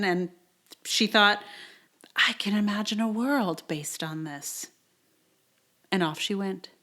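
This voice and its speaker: A woman's voice: mid-range voice, bright, forceful tone. A woman in her forties hosting a podcast in a conversational, wry way, recorded on a travel mic in a slightly echoey house. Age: 40s. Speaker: podcast host reading own blog post. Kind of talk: casual intro, then read-aloud personal essay. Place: indoors in a sparsely cushioned house. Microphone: portable travel microphone.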